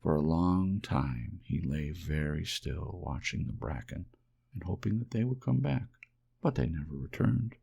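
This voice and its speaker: A man narrating a children's storybook in a gentle ASMR audiobook style. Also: low voice, animated, a little slow, vocal fry, husky, dull audio.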